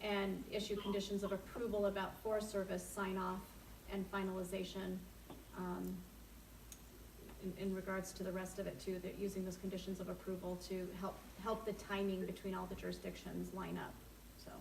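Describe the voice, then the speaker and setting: A woman chairing a community meeting, loudly relaying questions to a caller. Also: mid-range voice, completely flat delivery, normal speed, reverberant, far from the mic, slight background noise, low-quality recording. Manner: loud, relays questions clearly. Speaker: meeting facilitator.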